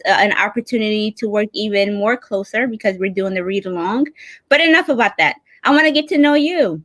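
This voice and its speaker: A woman's voice: medium pitch, a bit bright, no room sound. A woman hosting an online show in a casual, chatty way, speaking effusively and with enthusiasm.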